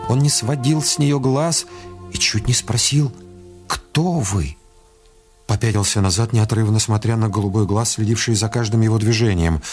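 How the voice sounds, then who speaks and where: A male narrator tells a radio drama in a measured, literary way. His voice is on the low side, with music clearly audible behind it. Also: a little mellow.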